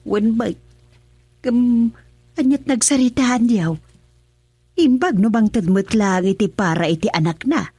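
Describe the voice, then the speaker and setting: A woman's voice: a little high, unhurried, pauses often, dark tone, breathy edge, dry recording. An actress playing an older Ilocano mother in a radio drama, fussy and full of questions.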